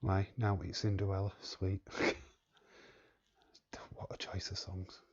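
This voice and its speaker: A man's voice: deep voice, completely flat delivery, slow, husky, dull audio. A man hosting a live watch-along stream, chatting casually and reading the chat aloud in a rambling way. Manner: rambling, reads chat aloud, chuckles often.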